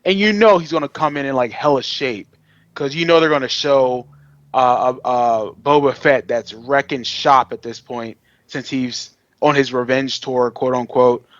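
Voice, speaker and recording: A man's voice: mid-range voice, moderate pace, a bit bright, dry recording, muffled recording. A man co-hosting a podcast in casual fan chat, with an informal, slangy and enthusiastic way of talking. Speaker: podcast co-host.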